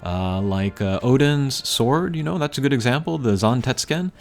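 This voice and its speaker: A man giving casual, unscripted gameplay commentary as a channel host, thinking aloud in a measured way. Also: mid-range voice, animated, vocal fry. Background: game music.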